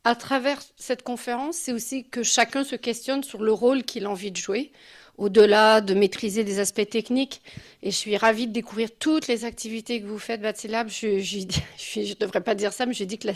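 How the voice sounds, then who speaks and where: A woman's voice: fairly high pitch, fairly even intonation, a little fast. A mature woman from Quebec gives an informal conference talk as a guest speaker, in a personal and reflective way. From Quebec City, Canada. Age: 50s or older. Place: live talk before an audience.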